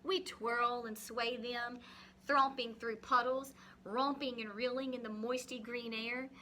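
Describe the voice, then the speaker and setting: A woman teacher reading a picture book aloud expressively to young children, pausing to ask guiding questions and explain things simply. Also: fairly high pitch, fairly even intonation, bright, forceful tone, slight background noise.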